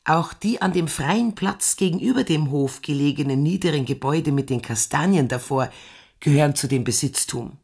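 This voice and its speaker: An actress playing a stately, hospitable farm landlady in a radio drama, husky-voiced, explaining things courteously and matter-of-factly. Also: deep voice, lively intonation, moderate pace, darkish tone, full character voice.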